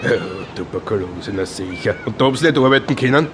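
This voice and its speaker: A man acts a gruff homicide detective in a radio play. His Viennese voice sits at a middle pitch, curt and probing, thick with sarcasm and suspicion. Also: animated, fast talker, noisy background, lightly acted. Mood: sarcastic, suspicious. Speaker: police detective.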